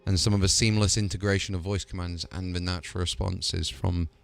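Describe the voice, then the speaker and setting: Brief: male host, casual and upbeat, gravelly smooth voice. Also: low voice, steady tone, a little fast, soft background music. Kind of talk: casual video commentary.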